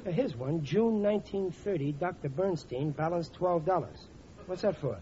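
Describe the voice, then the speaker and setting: An actor playing an excitable, boastful, dim-witted middle-aged working-class husband in a 1940s radio sitcom, blustering in ungrammatical speech. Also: male voice, high-pitched, normal speed. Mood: matter-of-fact. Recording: muffled, noisy old 1940s radio recording.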